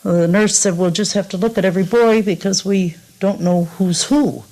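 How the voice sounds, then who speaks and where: A woman's voice: mid-range voice, normal speed, dark tone, rough recording. A woman recounting her experience in an unscripted 1950s radio interview. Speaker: interviewed mother. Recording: 1950s radio documentary tape.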